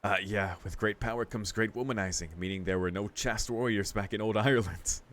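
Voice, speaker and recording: A man's voice: lowish voice, brisk, a bit bright. A man hosting a gaming video, reading aloud and commenting in a casual, rambling, slangy way.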